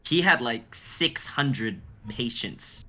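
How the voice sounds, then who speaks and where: A man's voice: mid-range voice, animated, slow pace, bright, forceful tone. A young man on a group livestream, moving between casual chat and dramatic reading of a script. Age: young adult.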